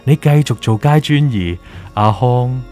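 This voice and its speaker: An actor playing a gloating, manipulative villain in an audio drama, with a Hong Kong Cantonese accent and taunting, drawn-out delivery. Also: male voice, mid-range voice, lively intonation, a little mellow, soft background music, lightly acted. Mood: coaxing, sinister. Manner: theatrical, taunting, drawn-out emphasis, drops in English words. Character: gloating, manipulative villainous male official, mocking.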